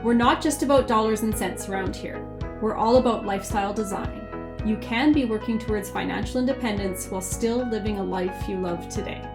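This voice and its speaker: A woman's voice: medium pitch, brisk, over music. Upbeat woman podcast host. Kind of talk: scripted podcast intro and interviewing.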